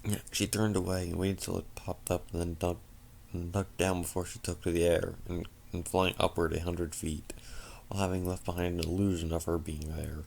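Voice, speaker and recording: A tired man narrating a fan fiction story as an amateur reader, halting and stumbling over words. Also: sits low, level tone, gravelly, darkish tone. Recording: faint background noise.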